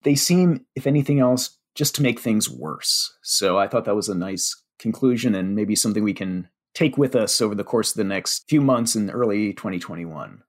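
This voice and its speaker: Husky chatty man with a Pennsylvania Dutch accent. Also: fairly low pitch, lively intonation, moderate pace, hardly any pauses. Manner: chatty, with fillers and self-deprecating jokes. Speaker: media relations director. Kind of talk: casual podcast chat.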